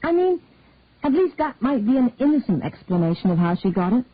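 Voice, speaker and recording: A woman's voice: fairly high pitch, sing-song, brisk, pitched above the performer's own voice. A woman reading the part of a sensitive older mother, earnest and a little hesitant. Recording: husky, tinny, dry phone-like sound.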